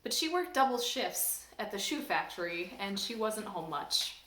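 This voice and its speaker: A woman from a church staff reading a children's storybook aloud in an animated, expressive way. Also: medium pitch, normal speed. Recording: roomy echo.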